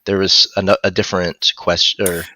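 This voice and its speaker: A man in his thirties with a low voice and a bright, forward edge. He talks casually as a live stream co-host, close on a headset mic with a dry sound. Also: flat delivery, normal speed, no echo. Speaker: audiobook narrator and producer co-hosting a live stream. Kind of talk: casual live-stream discussion, reading out viewer questions. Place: indoor room at home.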